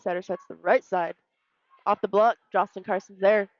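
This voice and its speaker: A woman's voice: medium pitch, fairly even intonation, normal speed, a little mellow, lo-fi sound. A woman co-commentating live volleyball in casual, clipped, touch-by-touch phrases.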